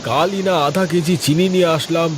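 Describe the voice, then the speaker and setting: Cartoon master male actor: impatient, bossy, exclamatory, raised pitch, clear rushing noise behind. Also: fairly even intonation, lightly acted. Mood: irritated. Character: demanding, impatient tea-craving household master. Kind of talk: Bengali cartoon voice acting. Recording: noticeable rushing rain-like ambient noise.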